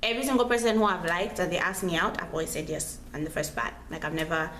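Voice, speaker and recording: A woman's voice: lowish voice, fairly even intonation, quick pace. A young woman telling a personal story casually, in a chatty, slangy, dramatic way, repeating phrases for emphasis. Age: young adult. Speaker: storytime vlogger. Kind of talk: casual animated storytelling to camera. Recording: roomy echo, clear background noise.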